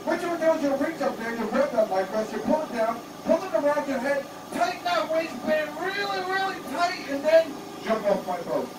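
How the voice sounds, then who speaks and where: Joking boat tour guide, distant and roomy. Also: male voice, very high-pitched, expressive, normal speed, hardly any pauses, very noisy. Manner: corny jokes, theatrical "ladies and gentlemen" delivery. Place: open boat on a spring, with tourists. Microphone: distant camera. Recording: roomy, from far off, loud music behind.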